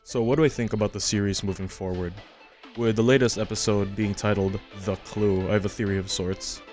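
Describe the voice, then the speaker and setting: A man narrating a horror commentary as a video essayist, measured and matter-of-fact, reading from a script. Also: on the low side, fairly even intonation. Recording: music under the voice-over. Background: background music.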